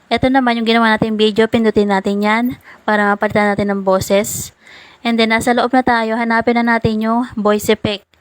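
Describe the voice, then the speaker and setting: A Filipino woman hosts a casual how-to voice-over with a fairly high voice. She explains things step by step in an instructional way. The sound is dry and close, with little room in it. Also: little pitch movement, a little fast, no room sound. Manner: instructional, mixes Tagalog with English phrases. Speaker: tutorial host.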